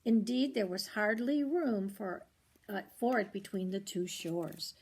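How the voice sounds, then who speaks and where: An older woman reads a children's storybook aloud in an expressive, grandmotherly way, with short asides to the listener. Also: mid-range voice, a little slow, gravelly, breathy edge. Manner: expressive, grandmotherly, commenting on the pictures.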